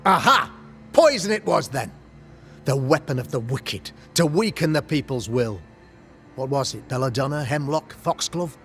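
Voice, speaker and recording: A man's voice: mid-range voice, expressive, normal speed, a bit bright, faint music behind. An actor playing a self-righteous, zealous town governor and father in a period video game, speaking in theatrical, indignant oratory. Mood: accusing, scornful. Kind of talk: dramatic video game acting in period English.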